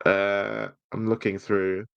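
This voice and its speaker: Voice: low-pitched, flat delivery, takes it slowly, vocal fry, smooth, no echo, highs cut off. Jokey podcast host just chatting about reviews, playful, really dry sound. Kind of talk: casual chat review.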